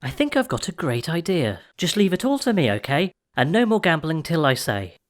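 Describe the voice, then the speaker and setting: Man putting on a high young storybook princess. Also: big pitch swings, normal speed. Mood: bossy, bright.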